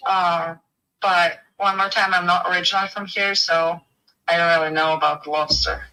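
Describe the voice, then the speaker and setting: A woman's voice: fairly low pitch, fairly even intonation, moderate pace, sharp tone. A woman with a Bulgarian accent, a real estate agent talking business on a phone call in a friendly way.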